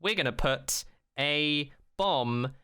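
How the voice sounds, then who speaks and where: A man co-hosting a podcast, chatting casually and opinionatedly, acting out mock quotes in an animated way and swearing freely. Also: fairly high pitch, takes it slowly, smooth. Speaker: podcast co-host. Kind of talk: casual chat, opinionated rant about a TV show.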